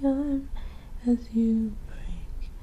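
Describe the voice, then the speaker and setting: A woman ASMR performer singing softly and sleepily in a breathy, gentle voice. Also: a little high, fairly even intonation, drawn-out words, lo-fi sound, slight background noise. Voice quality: soft, breathy.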